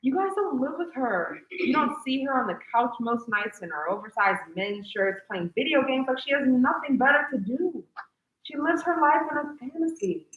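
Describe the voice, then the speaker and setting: A woman's voice: high voice, expressive, normal speed, phone-quality sound, a little hiss or hum. A woman playing a blunt, defensive young female theatre performer in a staged play reading, with emphatic delivery. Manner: emphatic, sweary banter. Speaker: drama student actor. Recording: roomy, distant stage microphone.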